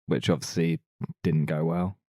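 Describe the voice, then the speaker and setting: A man's voice: extremely low pitch, little pitch movement, normal speed, dark tone, dry recording, studio quality. A man co-hosting a casual podcast discussion about films. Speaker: podcast co-host.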